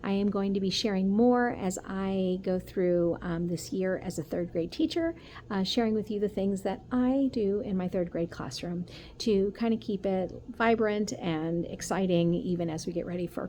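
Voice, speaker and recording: Female teacher, mid-pitched, reading to kids expressively, slightly noisy recording. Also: moderate pace, dark tone. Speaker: teacher reading aloud to children. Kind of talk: storybook read-aloud. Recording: noticeable background noise.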